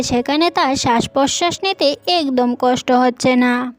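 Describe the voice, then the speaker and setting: A woman reading scripted narration for a children's fairy-tale cartoon as the storyteller. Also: high-pitched.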